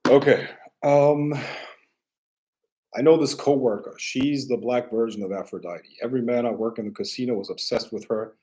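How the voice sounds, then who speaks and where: A man chatting casually as a livestream host, halting and offhand, often repeating his phrases. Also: mid-range voice, steady tone, warm tone. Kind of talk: casual rambling livestream chat.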